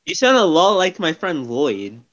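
A man voicing an excitable, blunt, goofy young ninja in a comedic cartoon fandub. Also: a little high, unhurried, lo-fi sound. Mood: casual.

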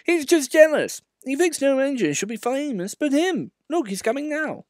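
Man voicing a kind old engine, high and soothing, dry sound. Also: very animated, moderate pace, dry recording. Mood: gentle. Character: kind cheerful older male tank engine.

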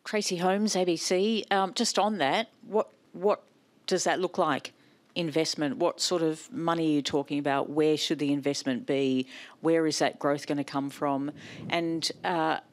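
A woman reporter with a very low voice asks a string of direct questions. She speaks plainly and to the point at a press conference. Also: fairly even intonation, a little slow.

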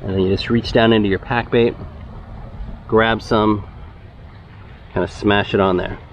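A man's voice: lowish voice, measured pace. A young man with a Texan accent, casually explaining how he fishes as he goes, recorded outdoors on a head-mounted camera. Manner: relaxed, explanatory, gets excited when a fish bites. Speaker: fishing channel host. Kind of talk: casual fishing vlog narration. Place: outdoors by a concrete-lined urban bayou. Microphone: head-mounted action camera. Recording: dull outdoor camera audio, faint traffic noise.

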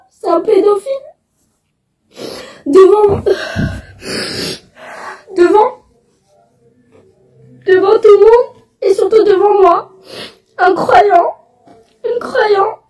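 A teenage girl, crying and halting as she tells her story to a phone camera indoors. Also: very high voice, mellow, roomy sound, distant mic. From Belgium. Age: teens. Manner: tearful, halting, upset. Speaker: student. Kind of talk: selfie video testimony. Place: indoors, small room. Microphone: phone held close, front camera.

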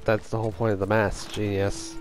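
A young man with a hoarse voice chatting casually over his gameplay as a gaming host, swearing when things go wrong. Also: fairly low pitch, little pitch movement, fairly quick, slight background noise. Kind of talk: casual let's-play commentary.

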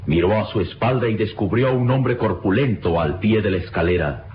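A man's voice: on the low side, a little fast, slight background noise. A man delivering scripted narration as the narrator of an old radio drama. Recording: dull old radio broadcast recording. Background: dramatic music.

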